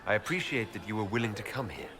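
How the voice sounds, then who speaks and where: A man playing a sincere elven hero in a fantasy video game, speaking in an earnest, softly direct and gently teasing way. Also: on the low side, quick pace, over music, natural voice. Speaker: player character in a fantasy role-playing game. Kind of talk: video game character dialogue.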